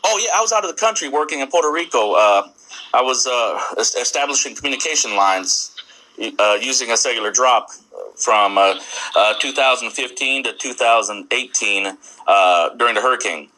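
A man's voice: mid-range voice, animated, normal speed, pushed and bright. A man in a casual phone conversation, hesitating and repeating his words as he goes. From United States, Texas. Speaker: prank call recipient stringing along a scam caller. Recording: noisy phone call recording with clicks.

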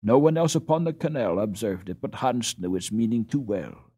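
A man reading a children's storybook aloud as an expressive audiobook narrator. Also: lowish voice, moderate pace, breathy edge.

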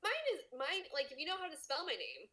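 A woman with a high, very lively voice chats as a podcast co-host. Her delivery is casual and playful, full of banter. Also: fast. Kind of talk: casual chat.

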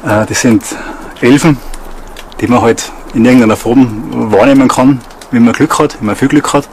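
A man's voice: mid-range voice, normal speed, a little mellow, slight background noise. A man speaking informally in a documentary interview.

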